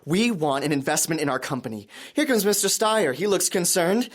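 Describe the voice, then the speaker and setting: A man's voice: high voice, expressive, normal speed. An actor playing a pushy, volatile young startup founder in an audio drama, with combative salesman patter. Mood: agitated, menacing.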